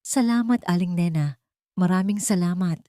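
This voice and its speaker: A woman's voice: lowish voice, moderate pace, mellow, dry recording, studio quality, lightly acted. A woman with a Filipino accent voicing an earnest, insistent male lawyer who protects his sister in a story. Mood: grateful.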